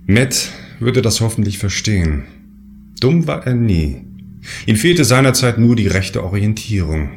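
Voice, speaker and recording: Man as a low-voiced, grandiose sect leader. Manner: self-assured, solemn, lofty. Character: confident, grandiose male sect leader and space settler. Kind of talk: radio play dialogue and first-person narration.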